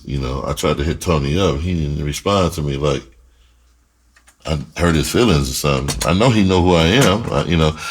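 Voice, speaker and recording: A man's voice: lowish voice, a little hiss or hum. A middle-aged American man telling stories casually and in slang, in a rambling way that often repeats phrases. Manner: rambling, repeats phrases, chuckles while reminiscing. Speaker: music producer and storyteller. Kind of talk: casual storytelling monologue, slangy and profane.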